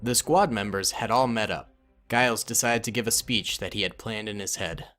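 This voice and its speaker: A man's voice: lowish voice, normal speed, fairly bright tone, soft background music. A man narrating a fan-made war story and voicing several soldiers in dialogue. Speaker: narrator and voice of most characters. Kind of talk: fan-made cutscene narration with character voices.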